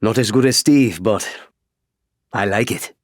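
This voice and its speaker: A man acting a loyal everyman pilot for an audiobook. He is wry, excitable and expressive, with a sarcastic edge and a dry, close studio sound. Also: lowish voice, a little slow, no echo, lightly acted. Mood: warm, amused. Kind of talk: audiobook character voice.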